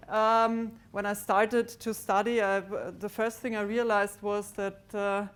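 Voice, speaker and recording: A woman giving a maths lecture to students in a large lecture theatre, pausing often with ums and restarts. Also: mid-range voice, level tone, clear, resonant tone. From Austria. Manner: hesitant, many ums and restarts, walks about with a pointer. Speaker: mathematics lecturer.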